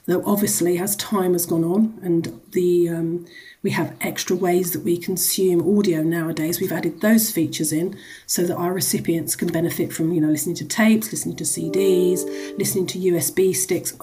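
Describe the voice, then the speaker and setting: A woman's voice: on the low side, fairly even intonation, moderate pace, dark tone, poor audio. A woman speaking as a charity executive in a radio interview, explaining the work in a conversational, wordy way with frequent asides.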